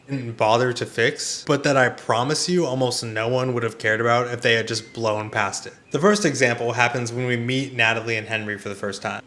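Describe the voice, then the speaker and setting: A man's voice: mid-range voice, fairly bright tone. A man delivering scripted video essay commentary in a conversational, exasperated way with emphatic rhetorical questions. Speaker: video reviewer and host.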